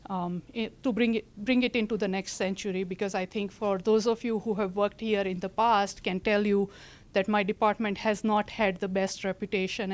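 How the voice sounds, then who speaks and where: A middle-aged woman from India with an Indian accent, presenting as a government official in a polite, measured, explanatory way. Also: medium pitch. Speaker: county government director presenting. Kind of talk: prepared presentation to an audience.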